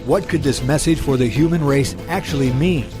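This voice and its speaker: A man's voice: mid-range voice, moderate pace, music in the background. A man delivering dramatic scripted narration for a show's introduction.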